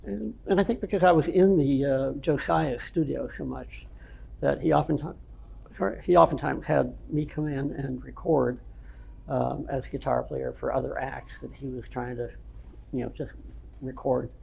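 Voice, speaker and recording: An older man from the North Shore of Massachusetts with a nasal voice, a veteran rock guitarist telling stories from his band days in an unhurried, rambling way. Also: medium pitch, steady tone, vocal fry, slightly rough, lo-fi sound. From North Shore of Massachusetts, USA. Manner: unhurried, rambling storytelling with many ums. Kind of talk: reminiscing interview answers.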